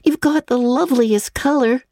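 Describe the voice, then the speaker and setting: A woman's voice: high-pitched, normal speed, warm tone, dry recording, studio quality, pitched above the performer's own voice. A woman voicing a chatty, good-natured young girl in a storybook, with a chirpy, girlish manner. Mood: approving.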